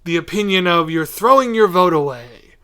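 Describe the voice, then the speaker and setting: A man hosting a podcast, chatting casually in a tentative, agreeable, halting way. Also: high voice, lively intonation, a bit bright, clear, resonant tone. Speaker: podcast host. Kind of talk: casual interview chat.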